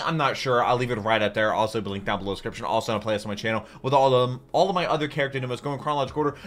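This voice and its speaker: A man's voice: medium pitch, a bit bright. A young man hosting a reaction video with informal, rambling, enthusiastic commentary. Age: young adult. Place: small room at home, indoors.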